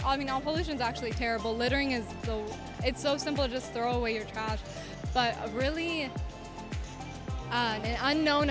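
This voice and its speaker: A young woman from Cuba with a slight Southern US accent, her first language Spanish, chatting upbeat and polite as a pageant contestant. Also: a little high. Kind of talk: casual interview answers. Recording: music playing behind.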